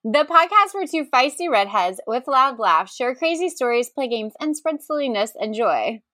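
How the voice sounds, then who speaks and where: A woman co-hosting a comedy podcast in casual chat, animated, giggly and self-deprecating. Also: sits high, normal speed, no echo, clean studio sound. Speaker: podcast co-host.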